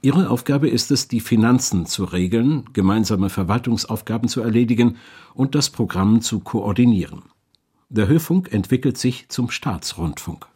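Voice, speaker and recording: A man's voice: mid-range voice, expressive, moderate pace, warm tone, husky. A man reading scripted narration for a radio documentary as its narrator.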